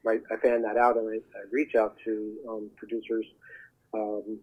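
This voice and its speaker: A man speaking as a talent agent guest, giving advice in an earnest, halting, conversational way over the telephone. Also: lowish voice, monotone. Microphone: telephone call. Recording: muffled narrow phone line.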